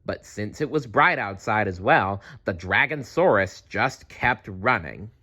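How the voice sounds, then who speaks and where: A man narrates a children's storybook at a very unhurried pace. His lowish voice moves through a wide range of pitch, with expressive, deliberate delivery. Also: lo-fi sound. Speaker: storybook reader. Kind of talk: children's read-aloud narration.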